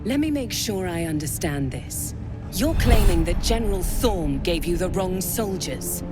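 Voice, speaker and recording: A woman's voice: lowish voice, animated, unhurried. An actress playing a stern military interrogator woman in a video game, authoritative and accusatory. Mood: stern, accusing. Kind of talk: video game cutscene acting. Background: loud music.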